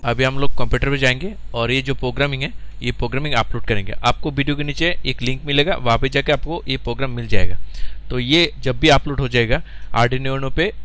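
A man's voice: medium pitch, fairly quick, fairly bright tone. A man, giving instructional tutorial narration as host of an electronics channel. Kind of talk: instructional tutorial narration mixed with English terms.

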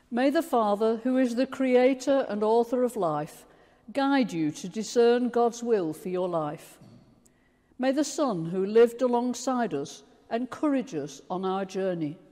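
An older woman with an English accent, a priest reciting liturgical prayers in a solemn, measured way. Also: fairly high pitch, mellow. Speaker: priest leading a communion service. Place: large stone church, indoors. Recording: long church reverb.

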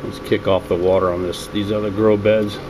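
A man gives a casual, unscripted video tour in a plain-spoken, low-key way, talking from behind a handheld camera in a small basement room. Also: brisk. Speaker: home hydroponics hobbyist narrating a video tour. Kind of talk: casual unscripted walkthrough narration. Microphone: handheld camera, narrator behind it. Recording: steady loud hum and water noise.

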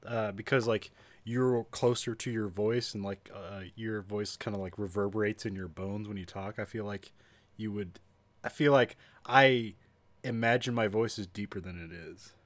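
A man co-hosting a podcast who sounds a little stuffy, talking in halting bursts full of fillers. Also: fairly low pitch, steady tone, no echo. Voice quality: sounds stuffy, as if he has a cold. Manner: halting, filler-heavy, quick to laugh. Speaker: podcast co-host. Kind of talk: casual banter.

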